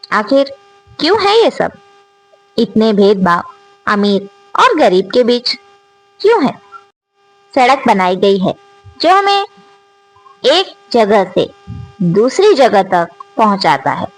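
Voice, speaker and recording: A woman telling a reflective moral story in a slow, earnest manner, rising on rhetorical questions as the channel's storyteller. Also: a little high, poor audio.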